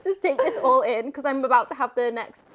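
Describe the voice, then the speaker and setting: A woman in her thirties co-hosting a podcast, chatting casually as an animated storyteller who laughs often. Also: high voice, a little fast. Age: 30s. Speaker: podcast co-host. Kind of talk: casual chat.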